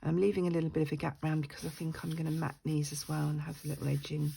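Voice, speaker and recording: An older woman with a croaky voice, chatting and thinking aloud as she works on a junk journal at her craft desk at home. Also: sits low, flat delivery, racing, mellow. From United Kingdom.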